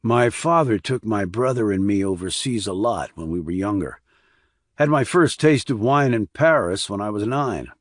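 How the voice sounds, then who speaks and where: A man voicing a terse, lowish-voiced army investigator. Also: animated, moderate pace, no room sound, studio quality. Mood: curious, casual. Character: blunt, probing male army investigator. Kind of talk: audiobook character dialogue.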